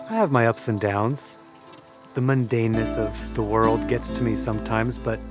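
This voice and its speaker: An actor playing a thoughtful, gentle young older brother in an audio drama, speaking earnestly and reflectively. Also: male voice, medium pitch, lightly acted. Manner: earnest, reflective, wordy phrasing. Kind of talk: audio drama dialogue acting. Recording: music and crickets behind the dialogue.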